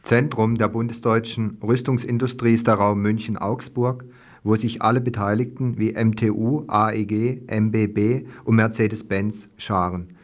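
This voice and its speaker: A man's voice: lowish voice, steady tone, normal speed. A man reading a scripted news commentary as a radio reporter.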